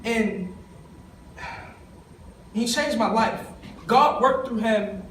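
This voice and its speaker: A young man speaks in a high voice, telling his own story in an emotional, conversational way and talking straight to the crowd. He stands away from the mic in a roomy, reverberant hall. A faint hum of the room sits behind him. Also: very slow, fairly bright tone. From East Baltimore. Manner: emotional, conversational, addresses the audience directly. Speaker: summit speaker. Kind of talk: personal storytelling speech. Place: conference hall. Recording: echoey room, voice from a distance.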